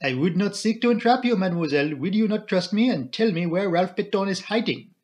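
A man voicing an elderly, courteous detective in an audiobook, with a formal, imploring, deliberate delivery. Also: high-pitched, darkish tone, pitched above the performer's own voice, close to an everyday voice. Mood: gentle coaxing. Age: older. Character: elderly Belgian male detective, courteous, earnest, commanding.

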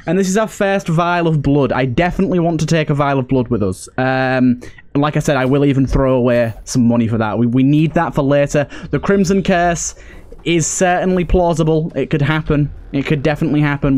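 A man's voice: fairly high pitch, a little mellow. A man giving casual let's play commentary, thinking aloud and repeating phrases for emphasis. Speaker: gaming video host.

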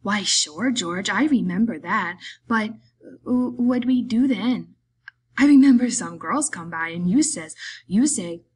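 A woman voicing a big, simple, childlike male farm laborer in an audiobook, eager and pleading, with halting, repetitive, rustic speech. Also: medium pitch, animated, slightly rough. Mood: delighted.

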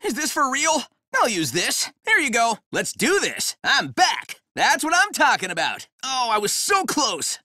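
Cocky blue hedgehog guy, high bright voice, bouncy game callouts, dry booth sound. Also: huge pitch swings, measured pace, dry recording, exaggerated voice. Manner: cocky, punchy one-liners. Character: cocky young male blue hedgehog, brash and upbeat. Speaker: game character voice. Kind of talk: game voice clips, short shouted callouts. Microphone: close studio mic in a voice booth.

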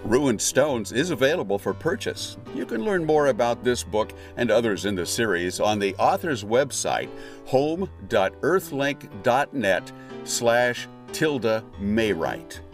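A man's voice: mid-range voice, very animated, a little slow. A man reading a scripted podcast sign-off as the announcer. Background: guitar music.

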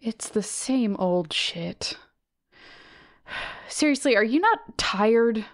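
A woman's voice: fairly high pitch, expressive, very slow, no echo, clean studio sound, heavily acted. A woman playing an irritable, sarcastic podcast presenter in an audio drama, sounding weary. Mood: irritated, sarcastic.

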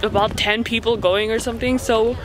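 A young woman vlogging casually on a handheld camera, giggly, excitable and teasing. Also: on the high side, brisk. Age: young adult. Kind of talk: casual vlog chatter. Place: crowded outdoor night market and a car. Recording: noisy handheld camera audio. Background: loud crowd and music.

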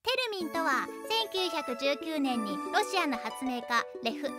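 A woman's voice: high-pitched, animated, a little slow, loud music behind the voice. A woman playing a cocky, eccentric, bossy teenage girl in a radio comedy drama, making blunt, grandiose declarations. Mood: self-assured. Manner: blunt, grandiose declarations, third-person self-reference.

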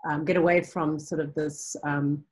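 A woman's voice: sits low, little pitch movement, moderate pace, slightly rough. A middle-aged woman from Africa, a guest on a video call interview, talking thoughtfully with frequent ums and restarts. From South Africa. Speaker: guest, nonprofit founder. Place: home room with bookshelves.

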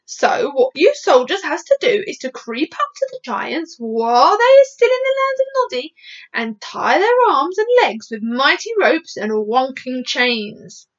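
A woman's voice: sits high, big pitch swings, a little slow, lo-fi sound. A woman voicing a big, eager male giant from a storybook, who garbles his words and grammar. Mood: eager, explaining. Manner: muddled grammar and mangled words.

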